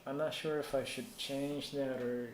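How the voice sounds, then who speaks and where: A man's voice: mid-range voice, flat delivery, measured pace. A man from Puerto Rico whose native language is Spanish, chatting casually as a live stream host and thinking aloud in a rambling way. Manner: rambling, thinking aloud while reading chat. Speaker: live stream host giving PC troubleshooting advice. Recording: echoey room with faint noise.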